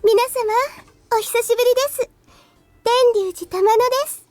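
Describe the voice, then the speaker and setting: A woman's voice: very high-pitched, fairly even intonation, fast talker, warm tone, no echo. An actress playing a perky, teasing schoolgirl underclassman in a visual novel, sweetly polite and cheeky. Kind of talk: Japanese visual novel voice acting.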